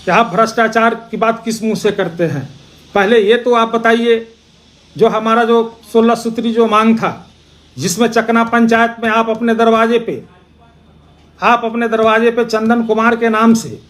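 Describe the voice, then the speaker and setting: A man giving an accusatory political speech, posing rhetorical questions and repeating phrases for emphasis. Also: sits high. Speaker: opposition party politician.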